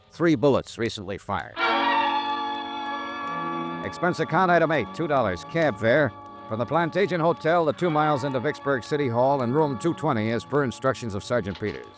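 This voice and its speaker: An actor playing a wry, hard-boiled male insurance investigator, telling his case crisply and dryly in 1950s radio noir style. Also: mid-range voice, lightly acted. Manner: crisp, dry, deliberate storytelling.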